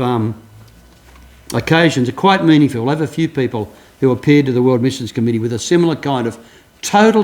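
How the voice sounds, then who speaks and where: A man's voice: medium pitch, a little mellow. An older man preaching a sermon as pastor, telling stories earnestly with frequent restarts. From Australia. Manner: earnest storytelling, many restarts.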